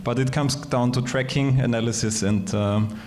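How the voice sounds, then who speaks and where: A man's voice: medium pitch, steady tone, moderate pace, a little mellow, slight background noise. A man from Austria, a native German speaker, talking through his points on an industry panel, often ending phrases with "yeah". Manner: conversational, tags statements with "yeah". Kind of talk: conference panel discussion.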